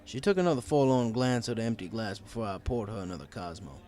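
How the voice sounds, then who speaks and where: A man reads a first-person story as an audiobook narrator. His delivery is wry and conversational, with a very lively, varied intonation. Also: on the low side, fast.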